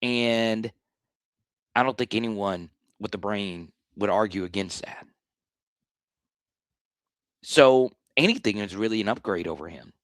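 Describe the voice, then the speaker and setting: A man with a slightly hoarse voice hosting a live sports talk stream, blunt and animated, often restarting his sentences. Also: lowish voice, a little slow, long pauses, dry recording, studio quality. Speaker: sports show host.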